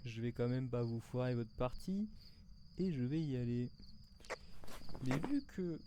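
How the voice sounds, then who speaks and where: A man's voice: medium pitch, lively intonation, a little slow. A man chatting casually and joking with teammates while playing a game. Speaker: gamer streamer. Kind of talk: casual gaming commentary. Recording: loud background noise.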